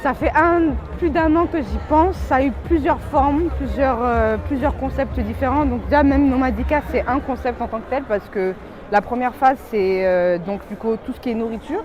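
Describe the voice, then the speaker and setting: A woman from West Africa answering interview questions casually, pausing to search for her words. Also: sits high. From born in Belgium, grew up in West Africa. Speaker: entrepreneur being interviewed. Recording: noisy urban ambience behind voice.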